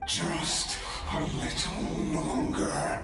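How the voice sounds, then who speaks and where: An actor playing a menacing, power-obsessed villain in a fantasy video game, gravelly and straining to get halting words out. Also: fairly bright tone. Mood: strained, desperate. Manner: halting, drawn-out. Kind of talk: English-dubbed fantasy video game dialogue.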